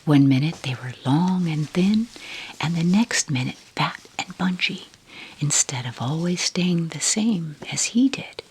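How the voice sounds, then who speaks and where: A woman softly whispering a bedtime story in an ASMR style, taking her time as she narrates. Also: sits low, expressive, slight background noise, poor audio. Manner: soft, unhurried whispering.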